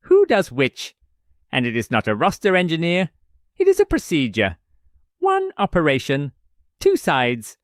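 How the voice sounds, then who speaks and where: An actor playing a weary, learned old man in an audio drama, speaking in deliberate, formal, clipped declarations. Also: a little high, wildly swooping pitch, no room sound, clean studio sound. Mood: grave, insistent. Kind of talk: dramatic audio fiction dialogue.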